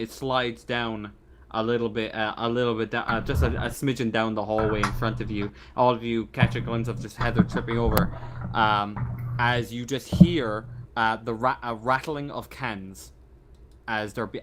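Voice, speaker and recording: A man acting as game master, improvising narration for a tabletop roleplaying game in halting, repeated phrases. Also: medium pitch, background noise.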